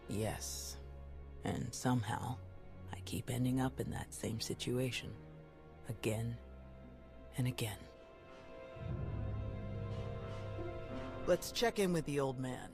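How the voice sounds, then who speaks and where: A woman's voice: extremely low pitch, unhurried, gravelly, pauses often, full character voice. A woman playing a tough, battle-hardened Jedi security chief in a video game, speaking in a blunt, sardonic, clipped way. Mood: grim, haunted. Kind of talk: video game cutscene voice acting. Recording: music behind the voice.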